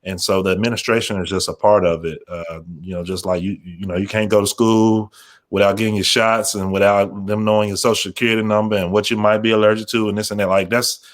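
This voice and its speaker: A man with a fairly low voice talks informally as a radio program manager on a panel. He is halting and thoughtful, often backing up to reword what he means. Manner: halting, thoughtful, circling back to rephrase.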